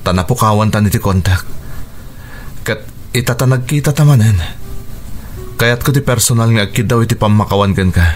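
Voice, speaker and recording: An actor playing a halting, remorseful middle-aged soldier in a radio drama. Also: male voice, on the low side, faint music behind, lightly acted.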